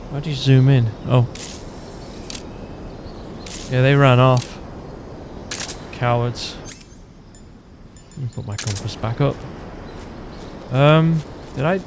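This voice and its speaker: A man giving casual gameplay commentary, loosely thinking aloud as he plays. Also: mid-range voice. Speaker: gaming video host. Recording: clear game noise behind voice. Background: game ambience.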